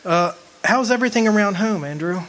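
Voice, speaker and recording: A man's voice: high voice, expressive, fairly quick, fairly bright tone. A man acting in a radio drama as an earnest, homesick country man, with a wistful, folksy way of talking. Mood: hesitant, friendly. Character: homesick young man of twenty-five, lovesick, earnest, remembering. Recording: noisy live amateur stage recording.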